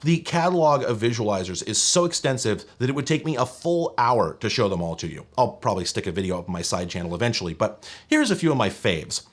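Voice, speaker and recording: A man's voice: lowish voice, sing-song, fast, few pauses. A man presenting tech in a scripted but conversational narration, enthusiastic, wry and emphatic.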